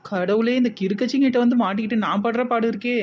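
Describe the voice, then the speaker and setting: A woman's voice: on the high side, fast, slight background noise, pitched below the performer's own voice, natural voice. Wry village sister-in-law, dull sound, woman acting. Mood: wry, exasperated. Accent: southern Tamil Nadu. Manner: wry asides, mock-concerned coaxing. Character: exasperated, sarcastic village sister-in-law playing the supporter.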